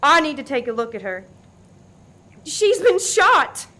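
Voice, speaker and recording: Woman playing a bossy, sharp-voiced diner boss. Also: sits high, very animated, measured pace, heavily acted. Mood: alarmed, defiant. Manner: commanding, emphatic. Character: bossy, no-nonsense Southern diner worker, armed and defiant. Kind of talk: farcical radio play acting.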